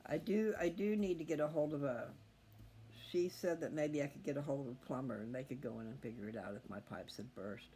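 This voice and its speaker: A man's voice: mid-range voice, fairly quick, dark tone. A man chatting casually to his viewers in a folksy, meandering way, thinking aloud as he goes. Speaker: host of a scripture reading channel. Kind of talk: rambling casual chat to viewers. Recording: faint background noise.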